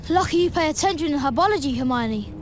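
A woman voicing an excitable, grumbling, sarcastic young boy wizard in a video game. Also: high-pitched, quick pace, slight background noise. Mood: relieved, wry.

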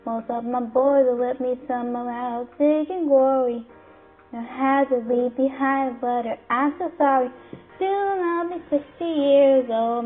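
A woman singing a slow, soft pop song cover. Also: high-pitched. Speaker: cover singer. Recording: tinny phone-like sound with faint backing music.

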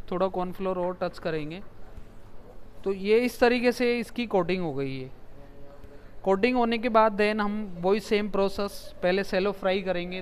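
A man's voice: sits high, a little hiss or hum. A man in his thirties, a chef giving a step-by-step cooking demonstration in a restaurant kitchen on a lapel mic, mixing English words into his talk. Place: commercial kitchen.

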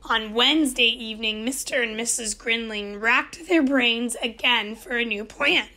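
A woman reading a children's picture book aloud at story time, gentle and expressive, lingering on key words. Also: fairly high pitch, unhurried. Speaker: storytime reader.

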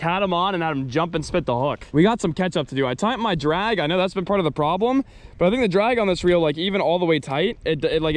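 A young man filming his own fishing trip at an outdoor pond on a body-worn camera, chatting excitedly to viewers and laughing often. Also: fairly high pitch. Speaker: fishing vlogger. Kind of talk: casual vlog commentary. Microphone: body-worn action camera.

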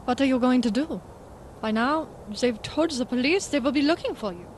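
Woman playing a sorrowful, guarded higher-voiced woman. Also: moderate pace, slight background noise, natural voice. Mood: resigned, urging. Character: sorrowful, guarded Czech woman in postwar Vienna. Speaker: actor in radio drama. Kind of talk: radio drama acting.

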